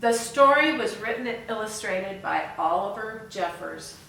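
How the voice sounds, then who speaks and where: A woman reading a picture book aloud for children, expressive, with chatty asides and questions to her young listeners. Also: mid-range voice, moderate pace, creaky, slight background noise. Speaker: volunteer storyteller. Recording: echoey room, far from the mic.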